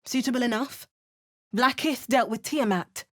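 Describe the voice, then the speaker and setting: A woman's voice: fairly high pitch, moderate pace, close to an everyday voice. A woman with an English accent voicing a hardened, wry female adventurer in a video game, making dry remarks and chanting spells. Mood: grim. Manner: chants spell words in a lively, sing-song way. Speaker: player character.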